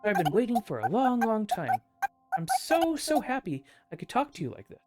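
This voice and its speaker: Woman voicing gentle ghost girl Pacifica, very varied pitch. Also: big pitch swings, normal speed. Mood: grateful, emotional. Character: Pacifica, gentle, earnest young ghost girl acting overly mature. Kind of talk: visual novel dialogue read aloud. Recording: loud background game music.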